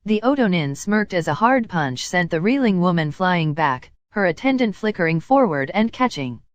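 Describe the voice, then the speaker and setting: Lower-pitched woman reading a story, dry sound. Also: very animated, moderate pace, dry recording, dull audio. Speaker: audiobook reader of fan fiction. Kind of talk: scripted story narration.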